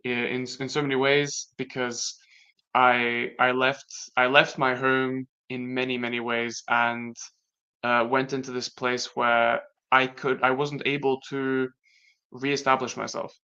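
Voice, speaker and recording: Latvian man talking in halting reflection. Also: mid-range voice, level tone, pushed and bright, smooth. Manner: reflective, halting, with many restarts. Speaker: guest. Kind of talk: casual conversation. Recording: dull lo-fi sound.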